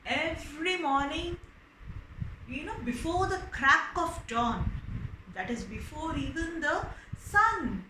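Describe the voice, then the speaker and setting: Slow, high-voiced woman storyteller. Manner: expressive, emphatic repetition. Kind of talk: storytelling for children with explanations. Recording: roomy echo with faint background noise.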